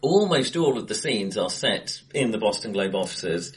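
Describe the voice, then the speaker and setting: A man co-hosting a casual film discussion, hesitant and self-correcting as he thinks aloud, recorded in an acoustically bright room. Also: lowish voice, sing-song, moderate pace. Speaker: film podcast co-host. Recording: echoey bright room, face to face.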